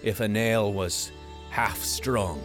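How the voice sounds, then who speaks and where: A man's voice: fairly low pitch, slow pace, a bit bright, soft background music. A man voicing a droll, boastful sixty-year-old adventurer with a mixed, hard-to-place accent in an improvised tabletop game. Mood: proud. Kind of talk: improvised tabletop role-play.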